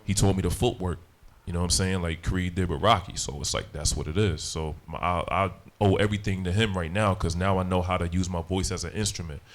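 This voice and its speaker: A man with a deep voice, a rapper talking casually in an interview, full of slang and repeated "you know what I'm saying". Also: steady tone, a little fast. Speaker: rapper, guest.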